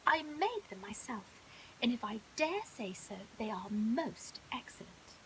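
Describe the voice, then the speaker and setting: A woman's voice: on the high side, very animated, unhurried, fairly bright tone, heavily acted, rough recording. A woman voicing a posh, self-satisfied, clever older female crocodile in a sing-song storybook manner. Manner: posh sing-songy delivery. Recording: hissy background noise.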